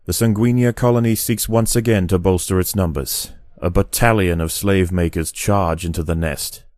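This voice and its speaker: A man delivering scripted nature documentary narration in a solemn, deliberate, dramatic manner. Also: sits low, fairly even intonation, a little mellow, no echo, clean studio sound, lightly acted. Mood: grave, ominous. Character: grave, measured male nature documentary narrator.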